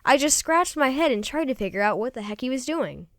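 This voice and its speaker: Animated woman reading a children's story. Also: sits high, brisk, no echo, clean studio sound. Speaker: storybook reader.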